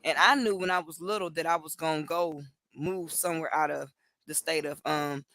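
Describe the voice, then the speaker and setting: A woman from Cleveland co-hosting a casual livestream chat from home over a video call, animated and laughing often. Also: deep voice, moderate pace, no room sound, clean studio sound. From Cleveland, Ohio. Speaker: co-host.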